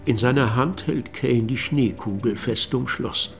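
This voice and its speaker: A man playing a reflective radio drama narrator who tells his account in a measured, cryptic way. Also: medium pitch, creaky, soft background music. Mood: measured, enigmatic. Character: reflective male narrator recounting evidence and memories. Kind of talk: scripted radio play narration.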